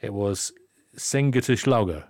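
A man's voice: on the low side, slow, darkish tone, no echo, studio quality. A middle-aged man hosting a podcast, chatting casually and jokingly with a guest, often restarting his phrases. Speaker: podcast host. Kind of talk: casual conversational interview.

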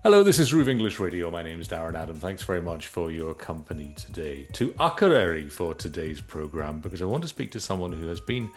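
A man hosting a radio interview, speaking in a clear, measured way. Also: deep voice, sing-song, a little mellow. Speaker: radio host. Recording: radio broadcast with music bed.

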